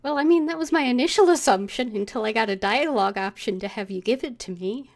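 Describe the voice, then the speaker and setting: A woman's voice: fairly high pitch, animated, fast. A woman game streamer voicing her own character's lines in a fantasy role-playing game.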